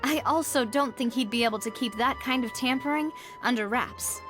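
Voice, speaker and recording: A poised young lady investigator from a video game, voiced by an actress, speaking in a high voice with music underneath. She is thoughtful and deliberate, weighing each idea in measured musings. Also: close to an everyday voice. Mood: doubtful, musing. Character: poised, thoughtful young woman investigating her father's case.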